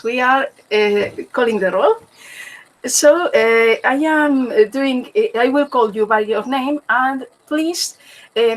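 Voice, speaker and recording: A woman's voice: a little high, rough recording. A woman with as a moderating an online meeting over a video call, with many fillers and restarts.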